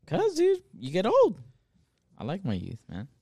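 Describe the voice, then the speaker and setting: A man's voice: high-pitched, wildly swooping pitch, unhurried, vocal fry, darkish tone, slightly rough, no echo. A man in his twenties, a podcast co-host, rambling and laughing in casual chat with friends and swearing a lot. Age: 20s.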